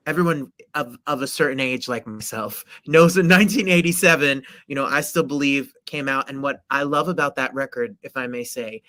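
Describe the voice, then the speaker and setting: A middle-aged man hosts an interview, heaping effusive praise on his guest. His voice sits at a middle pitch for a man. The recording is dry and close, with no room echo. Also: fairly quick, hardly any pauses, sharp tone. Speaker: host. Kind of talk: casual interview chat.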